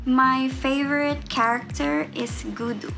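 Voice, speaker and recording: A young woman from the Philippines giving her opinion on a show in a slow, careful way, recorded on a webcam. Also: sits high, fairly even intonation, gravelly, a bit bright, soft background music.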